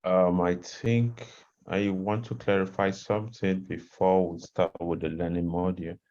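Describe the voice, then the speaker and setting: A man giving an explanatory presentation on a video call, haltingly searching for words. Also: low-pitched, level tone, dark tone, highs cut off. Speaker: presenter of a learning module. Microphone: headset on a video call.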